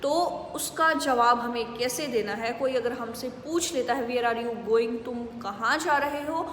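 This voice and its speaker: A woman with an Indian accent teaching English phrases, saying the model answers slowly and clearly. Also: fairly high pitch, a bit bright, a little hiss or hum. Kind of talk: spoken English lesson in Hindi. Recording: echoey room with long reverb.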